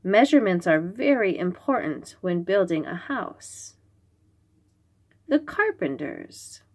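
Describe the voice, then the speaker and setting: Female storytime reader for preschoolers: slow delivery, very lively intonation, simple explanatory, questioning manner. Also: fairly low pitch. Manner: instructive, questioning, enunciates key words for small children. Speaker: host reading picture books aloud to young children.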